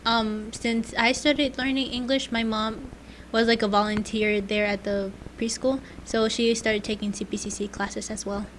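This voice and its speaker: A young woman, a native Spanish speaker, chats on a student podcast and tells stories with hesitant "um"s. Her voice sits a little high. Faint background noise runs under the recording. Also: flat delivery, normal speed, few pauses. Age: young adult. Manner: chatty, storytelling, hesitant fillers. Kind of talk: casual chat.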